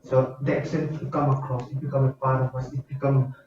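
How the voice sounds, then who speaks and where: A man's voice: mid-range voice, flat delivery, a little fast, a little mellow, a little hiss or hum. Distant man in a very echoey room. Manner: hesitant, with fillers. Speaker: teacher in the audience. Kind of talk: asking a follow-up in a seminar. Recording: distant, very echoey, dull audio.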